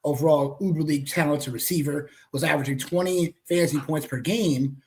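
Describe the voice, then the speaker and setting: Young dude co-hosting, kinda raspy, hyped and slangy, voice sits a bit high. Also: male voice. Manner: hyped, slangy, quick asides. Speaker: co-host. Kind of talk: casual sports chat.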